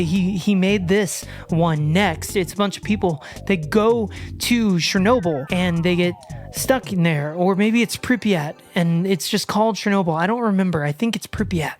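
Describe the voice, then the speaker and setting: A woman losing her voice, giving casual, joking commentary on horror films and swearing freely. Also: medium pitch. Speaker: horror video essayist.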